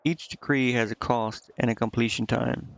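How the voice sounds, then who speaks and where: Man, game streamer, fry-heavy voice, casual think-aloud commentary, halting muttering, slightly lo-fi mic. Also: medium pitch, fairly even intonation, no echo. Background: game music.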